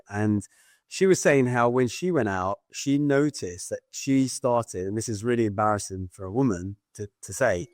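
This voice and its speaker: A man's voice: lowish voice, no room sound, studio quality. A man hosting a podcast, chatting casually and rambling through stories over a video call. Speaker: podcast host. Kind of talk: casual conversational podcast chat.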